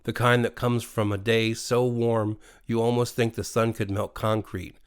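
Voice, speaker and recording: A man with an American accent reading a scripted bedtime horror story in an expressive, dramatic way. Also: medium pitch, moderate pace, clean tone. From USA. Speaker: storyteller, narrator. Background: faint music.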